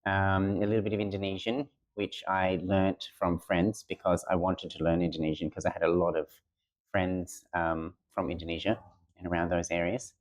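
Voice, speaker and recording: A man, a teacher answering interview questions in a relaxed way with many ums. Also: deep voice, normal speed. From Australia. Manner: relaxed, many ums and uhs, plain-spoken. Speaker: teacher and guest. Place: school room.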